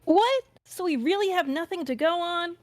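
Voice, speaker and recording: A performer voicing an excitable, indignant young girl sidekick in a video game, squeaky and exclaiming. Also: normal speed, soft background music.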